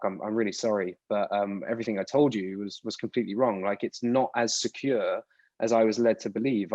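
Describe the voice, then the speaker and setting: A man's voice: fairly low pitch, a little mellow, dry recording. A man from the UK in his thirties, answering interview questions in a rambling, casual way with frequent restarts. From United Kingdom. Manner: rambling, restarts words often. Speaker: podcast guest. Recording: dull lo-fi dry sound.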